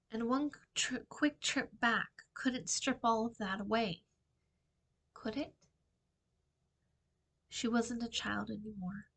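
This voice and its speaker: A middle-aged woman reads fiction aloud as its author. Her voice is bright and crisp-edged at a medium pitch. She delivers the prose in a measured, dramatic storytime style, recorded at a desk in a small room. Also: rough recording. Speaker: author reading from own novel. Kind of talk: expressive storytime book reading. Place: small indoor room with shelving.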